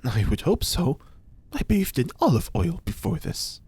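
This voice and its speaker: A man's voice: a little high, very animated, measured pace, warm tone, breathy edge, no echo, exaggerated voice. A man playing a pompous, theatrical occult scientist. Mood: lecturing. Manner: grandiose, theatrical, lecturing. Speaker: reporter's informant. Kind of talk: audio drama acting.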